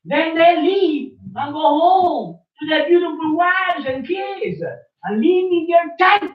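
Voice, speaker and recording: A man's voice: extremely high pitch, animated, slow pace. A man in a small study room speaking emphatically and fiery, repeating his points in an impassioned rant. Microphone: far room camera. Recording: echoey, distant, dull room recording.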